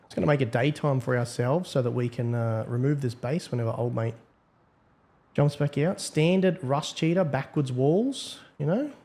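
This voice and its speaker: A man's voice: mid-range voice, lively intonation, moderate pace, dark tone. A man giving casual, slangy, wry gameplay commentary. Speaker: host, game server admin.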